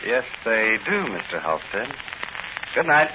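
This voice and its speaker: An actor playing a cool, wry young police hero in a teasing manner, in 1940s radio style on a noisy recording. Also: male voice, fairly low pitch, big pitch swings, unhurried, dull audio. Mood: wry, probing. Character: cool, wry rookie patrolman, secretly a masked vigilante.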